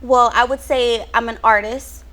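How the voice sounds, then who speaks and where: A woman's voice: on the high side, fairly even intonation, a little slow, fairly bright tone. A young woman from Houston, a rapper and songwriter, chatting upbeat in an interview and thinking aloud with frequent ums. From Houston, Texas. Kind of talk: casual interview chat.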